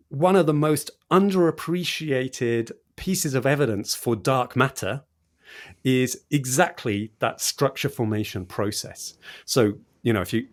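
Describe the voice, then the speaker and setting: A man explaining science in conversation as an interview guest, with an enthusiastic manner and repetition for emphasis. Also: medium pitch. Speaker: podcast guest, cosmologist explaining research.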